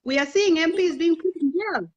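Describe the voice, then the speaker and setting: A woman's voice: extremely high pitch, moderate pace. Earnest woman, persuasive, muffled lo-fi audio. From Kenya. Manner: earnest, persuasive, asks rhetorical questions. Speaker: interview guest. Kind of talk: impassioned political talk.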